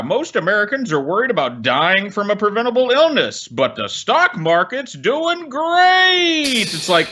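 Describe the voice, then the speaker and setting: A man's voice: fairly high pitch, sharp tone, highs cut off. A man ranting casually as a podcast co-host, blunt, exasperated and full of swearing.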